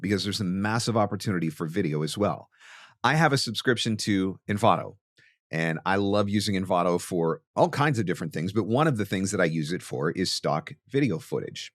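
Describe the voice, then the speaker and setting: A man with a very low voice delivers an instructional podcast monologue. He stresses key points with emphatic repetition. The sound is close and very dry, like a treated studio. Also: expressive, brisk, dry recording. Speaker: podcast host and voice actor.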